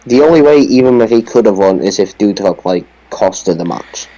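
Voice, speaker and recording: A man's voice: on the low side, animated, a little fast, mellow, no room sound, muffled recording. A man co-hosting a casual podcast, giving short, matter-of-fact verdicts. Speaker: podcast co-host. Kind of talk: casual chat.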